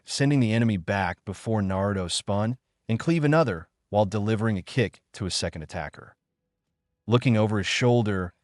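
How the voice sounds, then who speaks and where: A man with a fairly low voice narrates a scripted adventure story. The recording is dry and close, with very little room sound around the words. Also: dry recording. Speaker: narrator of fan fiction story videos. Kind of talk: scripted story narration reading dialogue and action.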